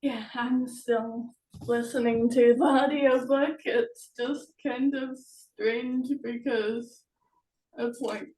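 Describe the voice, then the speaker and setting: A woman chatting casually as host of an online book promotion, hesitant and halting, often trailing off. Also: on the high side, fairly even intonation, a little mellow. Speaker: author hosting a promotional chat. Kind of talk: casual video chat. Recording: echoey room, distant from mic.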